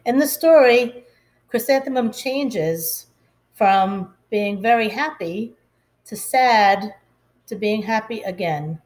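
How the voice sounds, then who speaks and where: A woman reading a children's story aloud like a teacher, in a deliberate, expressive way. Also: mid-range voice.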